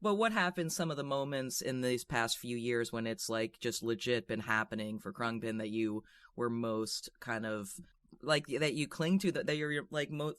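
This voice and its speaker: A man's voice: mid-range voice, a bit bright, smooth, no room sound, studio quality. A man interviewing in a conversational, informal and rather wordy way.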